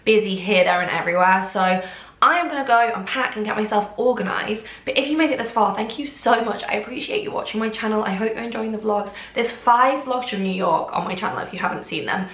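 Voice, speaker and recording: A woman's voice: medium pitch, a little fast, a bit bright. A young woman in her twenties from England, chatting casually to camera in a home vlog, bubbly and rambling, a bit snuffly and congested. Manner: bubbly, rambling, lots of "like" and "oh my gosh". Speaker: vlogger. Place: home kitchen, indoors. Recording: echoey room.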